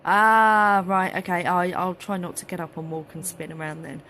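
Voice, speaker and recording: Woman, 30s, a bit hoarse, rambly live-stream chat on her phone, faint noise behind. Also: fairly low pitch, moderate pace, bright, forceful tone. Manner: chatty, rambling, many fillers and restarts. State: slightly hoarse, losing her voice. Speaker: craft group host. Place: cluttered craft studio, indoors. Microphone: phone held at arm's length.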